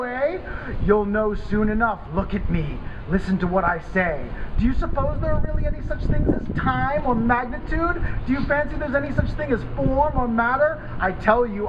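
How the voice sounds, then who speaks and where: A crazed, vindictive scientist played by a man reading aloud rants and gloats in a high-pitched, theatrical voice. He taunts and menaces like a horror story villain. The live recording is dull, with clear background noise. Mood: taunting. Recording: dull, noisy live event camera audio.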